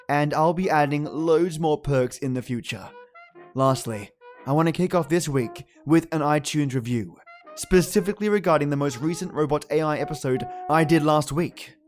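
A podcast host, a man with a slightly high voice, reads his introduction with theatrical relish. He savours his phrases as music plays behind him. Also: moderate pace.

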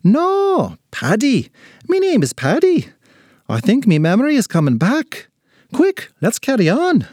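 A man's voice: extremely high pitch, wildly swooping pitch, a little slow, darkish tone, no echo, studio quality, voice pushed up, full character voice. A man voicing a little forgetful, feisty leprechaun with an Irish accent in a storybook tale. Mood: excited. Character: little red-bearded male leprechaun, forgetful, feisty and chatty.

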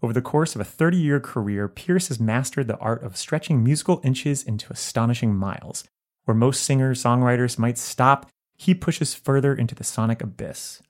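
A man narrating a written album review in a measured, articulate way. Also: mid-range voice. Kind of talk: scripted narration.

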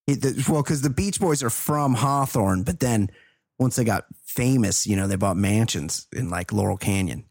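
A man's voice: lowish voice, expressive, normal speed, darkish tone, breathy edge, dry recording. Wisecracking man, dry close sound. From Costa Mesa, California. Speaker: podcast co-host. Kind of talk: casual banter.

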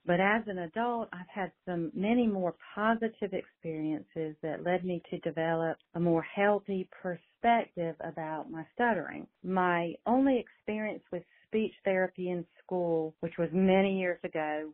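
A middle-aged woman who stutters, a speech-language pathologist, telling her own story in a voicemail. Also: on the low side. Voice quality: stutters, with some repeated words. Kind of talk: recorded voicemail, personal story. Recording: tinny phone line voicemail.

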